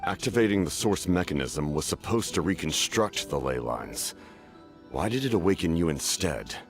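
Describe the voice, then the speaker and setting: A man's voice: low-pitched, lively intonation, normal speed, soft background music. A man playing a stern, ruthless military commander in a video game, grave, commanding and formal. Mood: demanding. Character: stern, ruthless male military harbinger, coldly pragmatic. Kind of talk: scripted video game voice acting.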